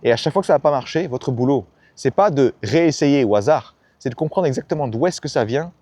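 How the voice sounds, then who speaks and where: A man's voice: medium pitch, lively intonation, fast, warm tone, no room sound. A man giving a blunt, slangy motivational talk about ambition and failure, often acting out the people he quotes. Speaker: self-help YouTuber. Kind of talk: casual motivational monologue.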